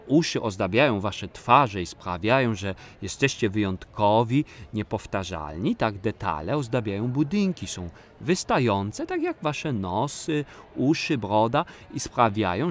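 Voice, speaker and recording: A man's voice: lowish voice, very animated, measured pace, hardly any pauses, a little hiss or hum. Friendly male guide for a children's audio play, instructive, speaks straight to young listeners. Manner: didactic, addressing children directly. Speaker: storyteller guide.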